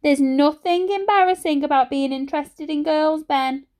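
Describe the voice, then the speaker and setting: A woman's voice: sits high, fairly even intonation, moderate pace, darkish tone, pitched above the performer's own voice, natural voice. A woman voicing a well-meaning, nosy mum in a children's story, with a sing-song, insistent manner. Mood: coaxing, reassuring.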